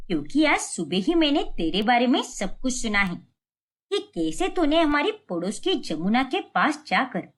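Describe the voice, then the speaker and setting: A woman voicing a sharp-tongued, bossy old village matriarch in a cartoon family drama, taunting as she talks. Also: a little high. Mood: angry.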